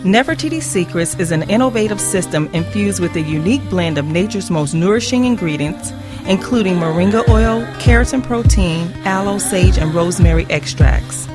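A woman's voice: on the low side, lively intonation, normal speed. A woman reading a scripted beauty advertisement as a commercial announcer. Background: music bed.

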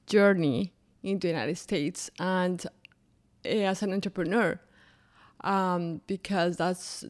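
A woman from Colombia, a native Spanish speaker, talking as a guest in an interview in an unhurried, thoughtful way with soft fillers. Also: lowish voice. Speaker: podcast guest, business founder.

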